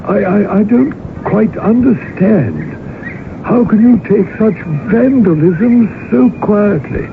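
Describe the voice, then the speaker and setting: Crackly, narrow old radio sound frames a proper manservant. He speaks in a high, creaky voice, formal and deferential, with fussy precision suited to a period radio play. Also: expressive, slow pace, exaggerated voice. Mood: concerned. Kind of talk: old-time radio drama acting. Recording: noisy, narrow-band old radio broadcast recording.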